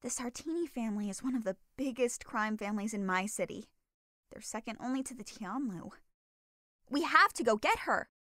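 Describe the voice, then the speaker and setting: A woman's voice: on the high side, animated, normal speed, bright, forceful tone, no room sound, studio quality. A woman playing a small, perky but fierce non-binary lawyer in an audio drama. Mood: worried.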